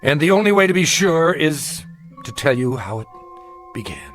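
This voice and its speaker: An actor playing a haunted older gentleman in a radio drama, recounting his youth in formal, precise, insistent words. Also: on the high side, big pitch swings, moderate pace. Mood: reflective, intense. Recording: music under the voice. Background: dramatic music.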